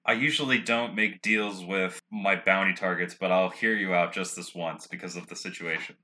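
A man acts out a gnome bounty hunter with a very low, bright, forceful voice. He is stern and businesslike, keeping his words short and formal in a tabletop fantasy game. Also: steady tone, moderate pace. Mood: curt. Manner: curt, formal, no-nonsense.

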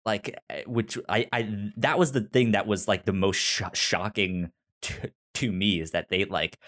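A man with a fairly low voice speaks in a casual, conversational way, as if recapping a story on a podcast. He stammers often, restarting words and phrases as he works through his thoughts. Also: fairly even intonation, a bit bright. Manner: halting, stammering with repeated false starts. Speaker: podcast co-host discussing an audio drama.

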